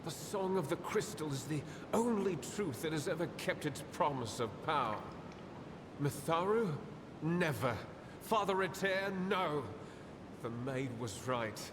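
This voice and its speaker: Haunted crystal-obsessed zealot, cavernous reverb. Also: male voice, expressive, measured pace. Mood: defiant fervour. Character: obsessed young acolyte, crystal-mad killer, fervent and haunted. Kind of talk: video game dialogue acting. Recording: long cavernous reverb, loud rumbling noise.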